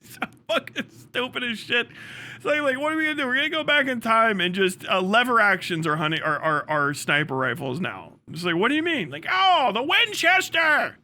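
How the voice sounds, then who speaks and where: A man livestreamer giving casual commentary, ranting in a sarcastic, animated way with lots of swearing. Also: high voice, fairly quick.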